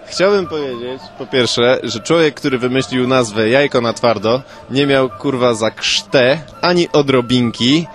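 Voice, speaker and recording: A man's voice: medium pitch, unhurried, few pauses, soft background music. A man improvising comic stories as a mock expert guest, rambling in casual, slangy language full of swearing. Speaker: comic guest posing as a food history expert.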